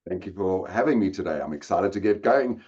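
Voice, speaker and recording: A man's voice: low voice, animated, a little fast, a little mellow, husky, dull audio. South African man, webinar speaker. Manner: engaging, addresses audience directly. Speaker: guest speaker. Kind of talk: webinar presentation. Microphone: video call.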